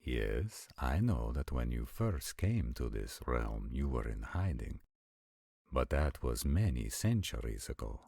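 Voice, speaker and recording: Male actor as protective ancient supernatural warrior for roleplay audio: extremely low voice, formal measured diction, dark tone. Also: very animated, gravelly, husky, no room sound, studio quality, heavily acted. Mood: curious, puzzled. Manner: formal, measured, stilted diction with deliberate pauses. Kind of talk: scripted roleplay audio acting.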